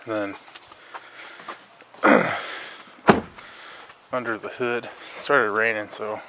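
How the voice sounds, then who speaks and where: A man's voice: on the low side, fairly even intonation, drawn-out words, heavy background noise. An American man giving an informal, laid-back walk-through for a casual vlog, recorded handheld outdoors. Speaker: vlogger, mobile welder.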